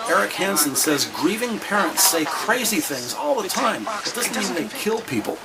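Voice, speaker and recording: A man voicing the narrator of a crime audio drama, describing scenes in a measured, wry, scripted way. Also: sits high, big pitch swings, vocal fry, over a noisy crowd, heavily acted, low-quality recording. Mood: matter-of-fact.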